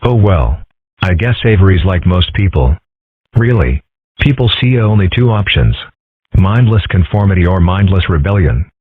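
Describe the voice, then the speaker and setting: Casting: male actor for earnest young philosopher fox in a comic dub, deep-pitched, dry and articulate, dull lo-fi recording. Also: lively intonation, normal speed, husky, dry recording. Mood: dry, thoughtful. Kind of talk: comic strip dub, character acting. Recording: muffled, dull, dry audio.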